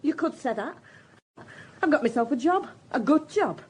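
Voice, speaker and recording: An actress playing a defiant, sharp-tongued young working-class woman in a radio crime drama. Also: high-pitched, animated, moderate pace, poor audio. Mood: defiant, brazen. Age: young adult.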